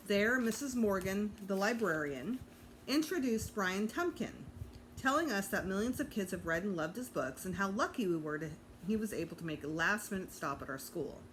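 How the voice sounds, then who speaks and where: A woman, a teacher, reading a children's book aloud to students in an animated storytelling style. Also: mid-range voice, poor audio. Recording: clear background noise.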